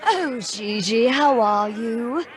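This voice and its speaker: A woman's voice: a little high, lively intonation, slow, crowd noise. An actress playing a nosy, catty society woman with an affected, effusive drawl in a radio drama. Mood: gushing.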